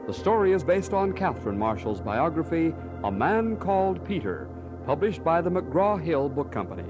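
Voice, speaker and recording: A man's voice: a little high, big pitch swings, normal speed, loud music behind the voice. A man announcing formally in a scripted old-time radio style.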